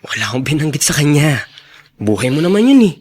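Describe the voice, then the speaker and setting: A man's voice: on the high side, big pitch swings, fairly quick. A man playing a sincere, supportive younger brother in a radio drama, talking in an earnest, plain-spoken way. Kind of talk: Tagalog radio drama acting.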